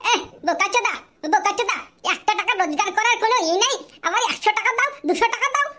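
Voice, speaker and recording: Shrill, brash cartoon scold. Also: fast talker, bright, forceful tone. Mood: exasperated. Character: scolding, sharp-tongued cartoon mother and cheeky pal. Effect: chipmunk-like pitch-up filter. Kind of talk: cartoon comedy dialogue with pitch-shifted voice.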